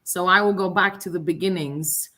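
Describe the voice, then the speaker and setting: A woman from Poland, a native Polish speaker, tells her story on a video call panel. Her voice sits a little low and her delivery is warm and animated. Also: moderate pace.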